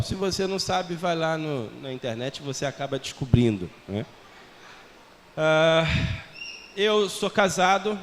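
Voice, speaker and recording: Um homem brasileiro na casa dos quarenta, missionário criado em Portugal, com sotaque brasileiro e traços do português europeu, falando de forma descontraída e brincalhona à congregação. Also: male voice, fairly high pitch, slight background noise. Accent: Brazilian Portuguese with European Portuguese traces. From Brazil. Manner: jovial, self-mocking, chatty with the congregation. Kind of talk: church missionary talk. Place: large church hall. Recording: long church reverb, faint ambience.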